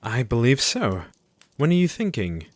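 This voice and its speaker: A man acting in an audio drama as a gentle, troubled Victorian doctor with courteous, formal speech. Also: medium pitch, wildly swooping pitch, moderate pace, no room sound. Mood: obliging.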